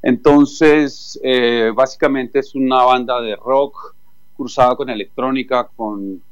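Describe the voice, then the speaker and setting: A man from Chile who speaks with a Colombian accent, talking in a mid-range voice. His delivery is relaxed and halting, full of "eh" pauses. The sound is dry and close. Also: mid-range voice, dry recording, highs cut off. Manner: relaxed and thoughtful, many "eh" pauses. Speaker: musician guest. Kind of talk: phone interview.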